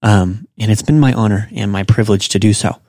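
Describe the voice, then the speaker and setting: A man hosting a podcast, giving a casual language lesson and slowly repeating example phrases. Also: lowish voice, warm tone, no room sound, clean studio sound. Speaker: podcast host and Japanese teacher.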